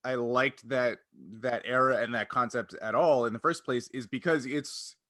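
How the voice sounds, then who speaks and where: A man's voice: medium pitch, steady tone, normal speed, fairly bright tone, dry recording, clean studio sound. A man hosting a podcast, talking casually in a wordy, explanatory way. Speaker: podcast host.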